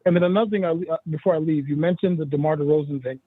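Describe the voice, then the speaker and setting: A fairly high-voiced man calling in over a thin, phone-like line, a bit husky. He chats loosely about the game and laughs between points. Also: racing, no room sound. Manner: chatty, laughs often, "you know what I'm saying". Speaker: guest analyst. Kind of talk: casual sports talk. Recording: tinny phone-line call.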